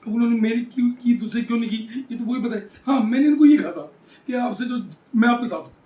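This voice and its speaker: High-pitched man, emphatic, roomy echo. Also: fast talker, warm tone. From Haryana, India. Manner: emphatic, repeats phrases for rhetorical effect. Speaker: former local chairman being interviewed. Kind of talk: political commentary in an interview. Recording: roomy, echoing sound.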